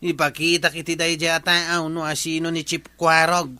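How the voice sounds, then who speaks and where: A man's voice: fairly high pitch, little pitch movement, moderate pace, sharp tone, clean tone, no echo. A man playing a boastful, hot-tempered village watch chief in an Ilocano drama. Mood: sullen, vengeful. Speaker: actor in drama. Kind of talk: Ilocano radio-style drama acting.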